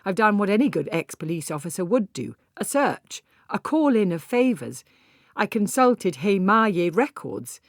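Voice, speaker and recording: A woman's voice: mid-range voice, big pitch swings, a little slow, no echo, clean studio sound. A woman narrating a literary first-person story as a scripted audiobook reading.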